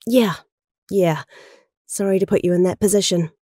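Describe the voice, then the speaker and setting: A woman acts a lovelorn young heroine in an audio drama. Her voice is soft-toned and mellow, recorded dry. She is outspoken yet earnest and pleading. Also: fairly low pitch, normal speed, no room sound, close to an everyday voice. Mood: apologetic. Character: Kat, lovelorn, outspoken young female hero. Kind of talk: amateur audio drama acting.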